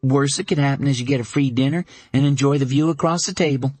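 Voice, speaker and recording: A man voicing a sharp-witted woman who teases her best friend with dry, quick banter. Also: medium pitch, no echo, soft background music, pitched above the performer's own voice, close to an everyday voice. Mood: playful.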